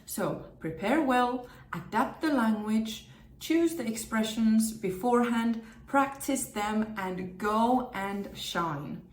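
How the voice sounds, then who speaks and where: A woman's voice: a little high, expressive, takes it slowly. Upbeat woman in an echoey room. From Finland. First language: Finnish. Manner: upbeat, emphatic repetition. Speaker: presenter and communication trainer. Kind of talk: direct-to-camera tips video.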